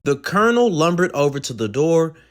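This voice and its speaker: Expressive man reading a children's story, very lively pitch. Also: brisk. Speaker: read-aloud host and storyteller. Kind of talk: animated children's storybook read-aloud.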